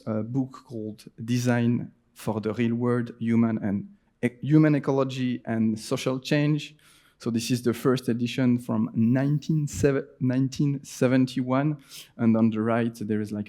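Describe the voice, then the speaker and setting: A young man from Switzerland speaks English with a Swiss French accent, presenting at a conference. He pauses often, fills gaps with "uh," and restarts his sentences. His voice sits at a middle pitch with a mellow, dark tone. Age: 20s. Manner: hesitant, frequent fillers and restarts. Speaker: designer giving a conference talk. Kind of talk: presentation talk.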